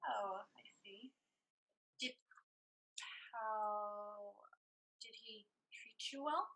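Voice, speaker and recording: Woman playing a very slow, bright-voiced gentle sister. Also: medium pitch, exaggerated voice, rough recording. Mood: hesitant, hurt. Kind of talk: staged reading of a play.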